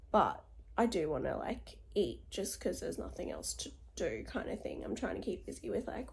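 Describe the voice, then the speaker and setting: Croaky, hoarse young woman vlogging. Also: warm tone. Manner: tired, low-key, upbeat despite illness. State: hoarse from illness; congested, chesty cough. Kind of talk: casual video diary.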